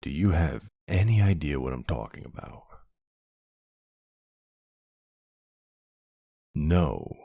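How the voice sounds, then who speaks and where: A man playing a centuries-old vampire hunter in an ASMR roleplay, gruff, wry and suspicious, questioning someone in a brusque, intimate way. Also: very deep voice, expressive, mellow, dry recording, clean studio sound, full character voice. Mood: suspicious, intrigued. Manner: brusque, wry, slow interrogating delivery with pauses. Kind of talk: ASMR roleplay, intimate one-sided dialogue.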